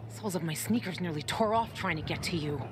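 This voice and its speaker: A woman's voice: lowish voice, fast talker, noisy background, natural voice. An actress playing a tough, blunt, foul-mouthed woman survivor in a video game. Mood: annoyed relief. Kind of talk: dramatic video game acting.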